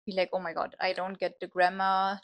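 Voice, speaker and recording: A woman with a German accent co-hosting a casual podcast chat, encouraging and quick to agree. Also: medium pitch, completely flat delivery, no room sound. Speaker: podcast co-host.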